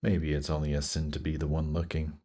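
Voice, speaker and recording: Guy doing a demon prince for roleplay audio, super low voice, slow coaxing teasing, seductive. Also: little pitch movement, warm tone. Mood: playful, amused. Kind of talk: intimate roleplay audio drama.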